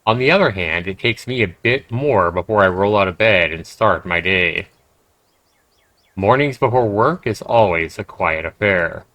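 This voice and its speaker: A man's voice: on the low side, expressive, unhurried, bright, forceful tone, dry recording, dull audio. A man livestreaming a game, commenting conversationally and emphatically and reading text aloud. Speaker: game streamer.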